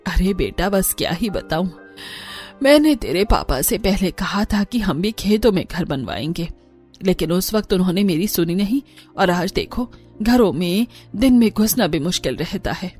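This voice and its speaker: A woman's voice: lowish voice, lively intonation, normal speed, a little mellow, soft background music, natural voice. A woman voicing a middle-aged village mother-in-law, kindly and resigned, in a cartoon.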